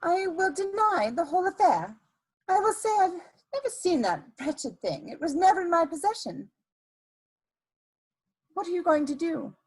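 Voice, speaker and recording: A woman's voice: extremely high pitch, sing-song, moderate pace, long pauses, low-quality recording. A woman playing a scheming, seductive society adventuress in a dramatic reading of a period comedy, with theatrical, coquettish emphasis. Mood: flustered, defiant.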